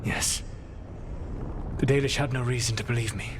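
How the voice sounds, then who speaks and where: A man playing an ancient, proud and regretful elven rebel in a video game, speaking formally and deliberately in a measured, solemn way. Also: mid-range voice, fairly even intonation, long reverb tail, noisy background, natural voice. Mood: regretful, grave. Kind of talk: video game dialogue acting.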